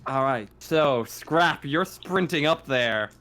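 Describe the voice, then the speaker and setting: A man talking as game master of a casual tabletop game, halting and repeating phrases as he narrates. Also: fairly high pitch, animated, fairly bright tone.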